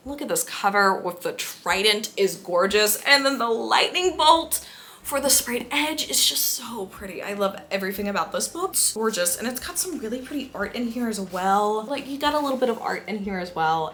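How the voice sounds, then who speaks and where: Bright-voiced, gushing young woman book vlogger. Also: on the high side, a little hiss or hum. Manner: gushing, effusive, emphatic repetition. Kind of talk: casual enthusiastic chat to camera.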